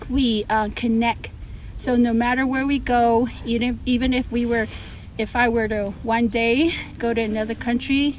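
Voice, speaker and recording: A woman with a fairly high voice speaks casually, as a teacher answering interview questions. She explains her points through examples. Music plays clearly behind her. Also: darkish tone, poor audio.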